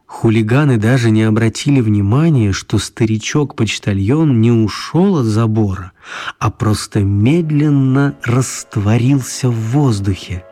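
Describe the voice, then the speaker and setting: A man narrating a children's radio play in a calm, even storytelling manner. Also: medium pitch, measured pace.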